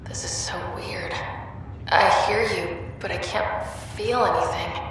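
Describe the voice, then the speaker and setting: A woman playing a dazed young woman in a video game, groggy, halting and bewildered, through an echoing mind-link effect. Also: medium pitch, steady tone, a bit bright, whispered. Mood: confused, anxious. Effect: echoing mind-link reverb. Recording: heavily echoing, distant, faint noise.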